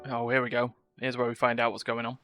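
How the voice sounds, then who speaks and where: Casual male game streamer: medium pitch, offhand reactions, faint music behind. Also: monotone, fast, fairly bright tone, smooth. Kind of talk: casual gameplay commentary.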